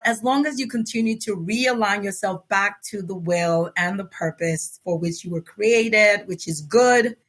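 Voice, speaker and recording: A woman from Nigeria, speaking American English with a light Yoruba tinge, talks as a guest on a podcast. She is earnest and reflective, laughs easily, and slows down to stress her points. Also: medium pitch, a bit bright. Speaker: guest author. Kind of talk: relaxed podcast interview.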